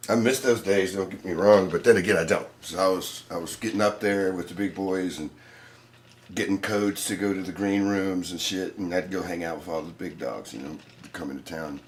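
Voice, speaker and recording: Raspy-voiced man in his forties. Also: low-pitched, moderate pace, slight background noise. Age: 40s. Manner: loose and profane, laid-back. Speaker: musician guest. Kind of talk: casual interview chat.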